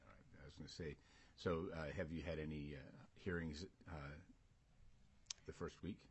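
Very low-voiced American committee chairman, halting delivery. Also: fairly even intonation, breathy edge. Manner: halting, folksy, courteous. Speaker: committee chair running a government hearing. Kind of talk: informal meeting chairing, unscripted. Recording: noticeable background room noise.

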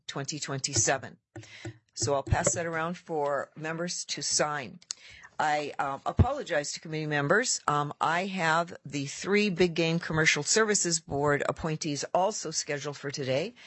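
A committee chair running a formal legislative hearing, reading out a report and moving through procedure briskly with filler pauses. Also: medium pitch, fairly bright tone, dry recording.

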